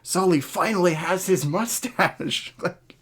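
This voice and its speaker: A man's voice: high voice, slow, a bit bright, husky. A man in a casual, opinionated podcast chat, sweary and jokingly exasperated, often laughing. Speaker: podcast host.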